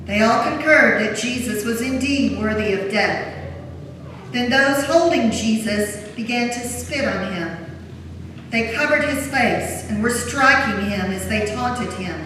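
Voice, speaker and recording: A woman's voice: on the high side, measured pace, fairly bright tone. A woman narrator giving a solemn, formal dramatic reading of scripture. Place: large church during a service. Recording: echoey church hall, distant, faint noise.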